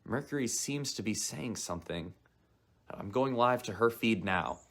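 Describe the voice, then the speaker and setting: A lively space radio reporter. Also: male voice, fairly low pitch, measured pace. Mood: urgent. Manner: urgent newscaster delivery. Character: upbeat male space-station radio reporter. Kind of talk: live broadcast reporting in radio drama. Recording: music bed underneath.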